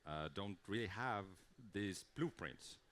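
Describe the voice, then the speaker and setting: Brief: man, conference speaker, very low voice, earnest and explanatory. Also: expressive, slow, fairly bright tone. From Estonia. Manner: earnest, explanatory, with frequent fillers and restarts. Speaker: conference speaker, game creative director. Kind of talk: conference talk.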